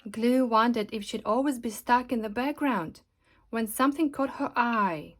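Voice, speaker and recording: A woman narrating a children's picture book aloud in an expressive, deliberate way. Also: fairly high pitch, a faint crowd. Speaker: storybook reader and host. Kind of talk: scripted children's storybook narration.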